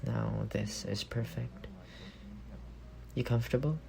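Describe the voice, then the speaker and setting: A man plays a young male roommate with a secret crush, affectionate and teasing. His voice is soft and creaky at an ordinary male pitch, murmuring in an intimate ASMR style. Music plays behind him. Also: slow pace, rough recording. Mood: fond, soothing.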